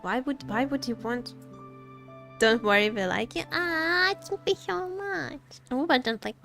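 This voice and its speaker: A woman streamer giving casual live commentary on a game, expressive and playfully complaining, often repeating her phrases. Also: high voice, measured pace, vocal fry. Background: game music.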